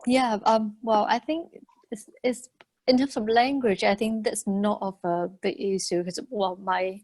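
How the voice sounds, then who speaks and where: A woman whose first language is Mandarin, speaking on a conference panel in a halting way with restarts. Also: mid-range voice, fairly even intonation, darkish tone, dry recording. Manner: halting, restarts with light laughs.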